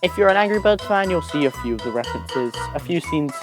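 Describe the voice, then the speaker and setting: Halting man doing casual video commentary. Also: on the high side, expressive, music in the background. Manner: halting, trailing off and repeating words. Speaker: host of a gaming video channel. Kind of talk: casual top-five list commentary, semi-improvised.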